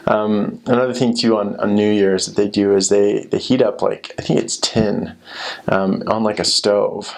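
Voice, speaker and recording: A young man with an American accent talks in a loose, informal, rambling way. His voice sits low with a gravelly fry, and his intonation stays fairly flat as he shares recollections. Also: moderate pace. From United States. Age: young adult. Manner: rambling, informal, frequent "like" and "you know". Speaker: former missionary sharing experiences. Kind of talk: casual chat.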